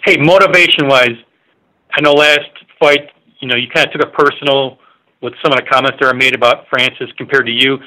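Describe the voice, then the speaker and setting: Bright-voiced man, halting reporter. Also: medium pitch, steady tone, low-quality recording. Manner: halting, rambling, informal questions. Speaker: sports reporter asking questions. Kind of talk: press conference call questioning. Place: remote on a media conference call.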